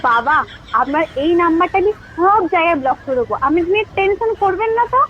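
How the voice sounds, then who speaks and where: Woman, high-pitched, curt and sceptical on a casual call; muffled phone recording with slight noise. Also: animated, fairly quick. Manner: sceptical, curt, sharp retorts. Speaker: recipient of a prank call.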